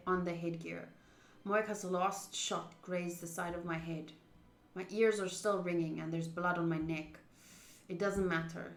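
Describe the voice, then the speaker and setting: Bright, echoey woman reading dramatically. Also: lowish voice. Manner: expressive, dramatic, with excitable interjections. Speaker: streamer playing through a visual novel. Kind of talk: reading game narration aloud with reactions. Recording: roomy echo.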